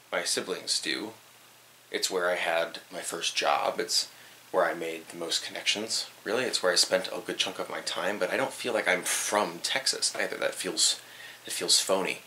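Young man musing, bright low voice. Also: vocal fry, a little hiss or hum, poor audio. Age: young adult. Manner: thoughtful, hesitant, repeats words while searching. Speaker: vlogger. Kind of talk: reflective personal documentary talk. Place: small bedroom indoors.

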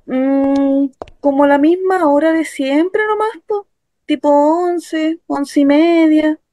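High-pitched young Chilean woman. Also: takes it slowly, mellow, no room sound. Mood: light, chatty. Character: young working-class daughter recounting her assault, wounded, bitter. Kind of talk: dramatic first-person radio narration. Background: music.

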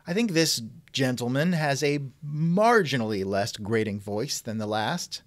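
Theatrical, animated man hosting comedy. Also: mid-range voice, measured pace, no room sound. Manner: theatrical, wisecracking. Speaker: podcast host and interviewer.

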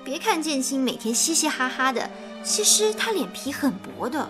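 A kindly, knowing woman confidante in a radio drama. She speaks in a coaxing way with a fairly high, very varied pitch over faint music. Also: big pitch swings, normal speed. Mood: gently teasing. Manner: coaxing, reassuring.

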